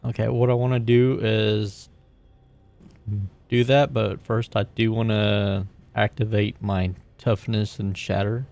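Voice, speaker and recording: A man's voice: fairly low pitch, little pitch movement, slow, vocal fry, darkish tone. A man playing a tabletop roleplaying game, chatting casually and thinking aloud.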